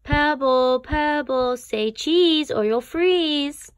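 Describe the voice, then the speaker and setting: Woman as high, loud, excited penguin relatives. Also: drawn-out words, no room sound. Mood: excited calling.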